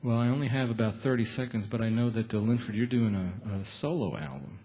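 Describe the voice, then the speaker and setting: A man's voice: medium pitch, quick pace, dark tone. Casual man hosting a radio show. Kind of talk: live stage interview, casual chat. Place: on a theatre stage.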